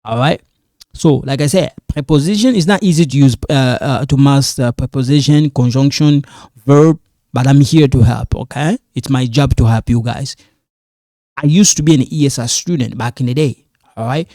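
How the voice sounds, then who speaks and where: A man's voice: mid-range voice, normal speed, mellow, dry recording, clean studio sound. A man who is not a native English speaker teaching an English grammar lesson in a casual, repetitive way, often checking in with "all right?". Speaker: ESL teacher. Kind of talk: casual classroom explanation.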